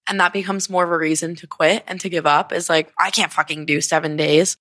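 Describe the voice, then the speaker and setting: A woman's voice: lowish voice, fairly even intonation, brisk, a bit bright, dry recording. A young woman in her twenties from Connecticut, hosting a solo podcast in a candid, rambling way, full of fillers and swearing, and sounding sniffly and congested. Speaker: podcast host. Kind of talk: casual solo podcast talk.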